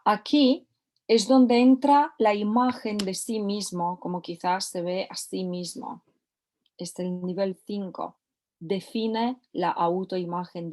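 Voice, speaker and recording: A woman's voice: mid-range voice, a little mellow. A woman teaching a recorded course lesson, often repeating key phrases for emphasis. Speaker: course instructor.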